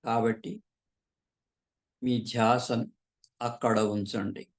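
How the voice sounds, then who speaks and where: A man leading a guided meditation as a teacher, calmly and unhurriedly, pausing often between short phrases. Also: medium pitch. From southern India.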